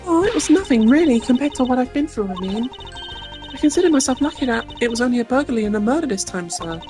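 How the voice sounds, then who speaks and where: A woman's voice: high-pitched, lively intonation, fast. A woman voicing a polite, self-deprecating, unlucky security guard in a video game, chatty and deferential. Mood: grateful, chatty. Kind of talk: amateur video game voice-over. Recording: loud music under the voice.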